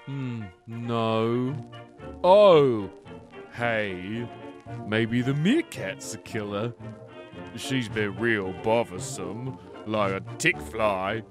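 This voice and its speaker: Audio drama janitor: big dim friendly creature, lowish voice, unhurried, halting delivery. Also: male voice, music in the background. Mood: simple, friendly. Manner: simple, dopey, halting speech.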